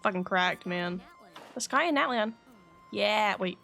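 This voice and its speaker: A woman's voice: mid-range voice, animated, measured pace, creaky, bright, forceful tone. Casual woman game streamer over background music. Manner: rambling, swears casually. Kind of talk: casual livestream chat.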